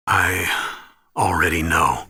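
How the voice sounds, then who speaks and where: An actor playing an older military commander in a video game, measured and earnest, with a fatherly gravity. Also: deep voice, sharp tone, exaggerated voice. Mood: remorseful, confessional. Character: older male military commander, grave, paternal, remorseful. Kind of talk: dramatic video game acting. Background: music.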